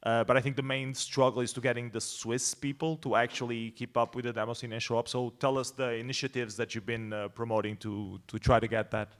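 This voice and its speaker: A man with a medium-pitched voice moderates a roundtable. He talks informally and conversationally, explaining his points as he goes. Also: little pitch movement, fairly quick, hardly any pauses. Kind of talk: informal panel discussion.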